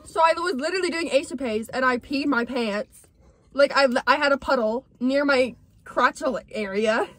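A woman's voice: high voice, normal speed, fairly bright tone, no echo. A young woman recounting a childhood story to friends in a parked car, in a matter-of-fact way. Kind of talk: casual chat.

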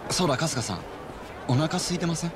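An actor playing a polite, helpful young man in a video game cutscene, speaking in a courteous, measured, formal way. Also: fairly high pitch, animated, a crowd in the background, noisy background, lightly acted. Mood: polite, friendly.

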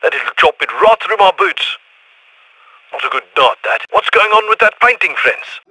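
An actor playing a breezy, chatty criminal ally over radio comms in a heist video game, talking casually. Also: male voice, high voice, expressive, moderate pace, bright, forceful tone, husky, no room sound, heavily acted, low-quality recording. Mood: breezy, curious. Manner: casual, chatty, tags questions with yeah.

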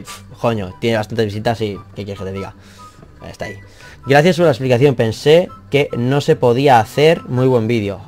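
A man's voice: mid-range voice, darkish tone. A young man whose first language is Catalan, reading viewer comments from a small office and answering them in a rambling, self-deprecating way, swearing casually. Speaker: math youtuber.

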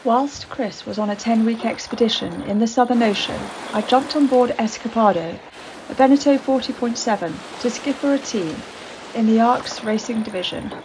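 A woman's voice: a little high, normal speed, background noise. Woman narrating a sailing voyage. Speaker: skipper and narrator. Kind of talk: scripted voice-over narration.

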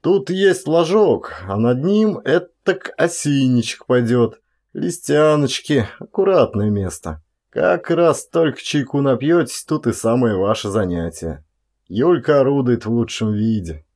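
A man's voice: mid-range voice, animated, measured pace, a little mellow. A man voicing a staid, hospitable rustic forest warden with folksy, sedate speech in an audiobook. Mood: folksy, pleased.

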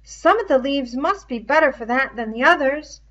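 A woman's voice: high voice, expressive, normal speed, a bit bright, voice pushed up. A woman voicing an anxious, eager schoolboy in a storybook reading, with ungrammatical, pleading speech, on a dull lo-fi recording. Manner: ungrammatical, pleading tag questions.

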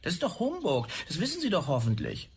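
An actor playing a dismissive, officious implant technician in a sci-fi audio drama, patronising and slightly hesitant. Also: male voice, on the high side, huge pitch swings, fairly quick, husky, exaggerated voice. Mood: condescending.

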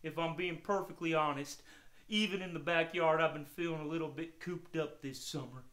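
An eager, friendly hound dog played by a man in a children's puppet show. He is excitable and bright, tripping over repeated words as he chatters to his friends. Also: fairly high pitch, normal speed, natural voice. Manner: eager, stammering repeats, barking.

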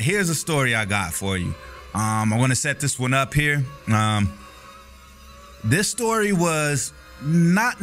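A man's voice: on the high side, sharp tone. A man hosting a podcast, chatting with a guest in an upbeat, friendly, casual way and laughing often. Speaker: podcast host.

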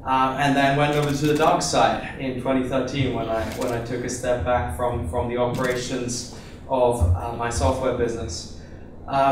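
A young man from Iceland giving a relaxed investor pitch on a conference stage with a handheld mic, full of fillers and restarts. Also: a little hiss or hum. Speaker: venture capital investor. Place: conference room with seated audience. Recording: echoey room, voice from far off.

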